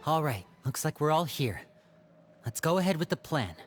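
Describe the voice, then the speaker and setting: A poised young man from a game cutscene whose pitch moves around expressively. He explains things calmly and reasonably, aiming to reassure and persuade the listener. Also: moderate pace, over music. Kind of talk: video game cutscene dialogue.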